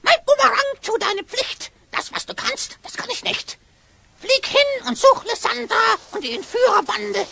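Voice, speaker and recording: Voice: extremely high pitch, animated, measured pace, gravelly, pushed and bright, slight background noise, heavily acted. A performer playing a sly, self-serving hyena spy in a children's radio play, speaking in oily rhymes and cackling. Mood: commanding, theatrical.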